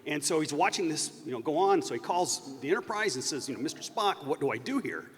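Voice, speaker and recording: A man with a fairly high, very animated voice tells a story to a live panel. He speaks haltingly, starting over and wandering as he goes. The sound is that of a reverberant convention hall. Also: breathy edge. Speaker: panelist recounting unproduced TV episodes. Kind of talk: casual storytelling on a convention stage. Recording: echoey hall sound from stage PA.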